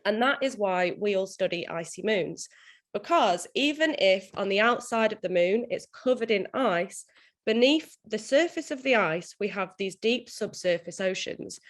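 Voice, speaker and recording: A woman PhD student explaining science to the public in a mid-pitched voice. Her voice is a little croaky because she is unwell. She walks listeners plainly through her work. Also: lively intonation, moderate pace.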